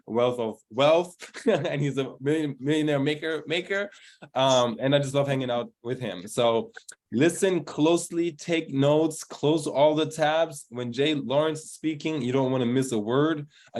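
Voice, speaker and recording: A man's voice: fairly high pitch, moderate pace, a little mellow. A man hosting an online group coaching call, talking in a casual, upbeat way and hyping up his guests. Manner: upbeat, hyped-up introductions. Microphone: video call.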